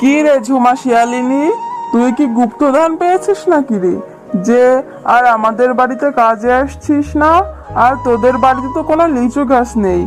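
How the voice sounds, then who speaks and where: A woman's voice: sits high, close to an everyday voice, rough recording. A woman voicing a greedy, scheming, haughty tigress in a children's cartoon, with a scornful, exclamatory delivery. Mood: haughty, suspicious.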